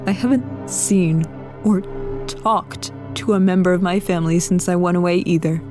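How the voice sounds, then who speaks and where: A woman's voice: lowish voice, fairly even intonation, moderate pace. A woman giving reflective, wistful scripted first-person narration in an audio drama, with music underneath.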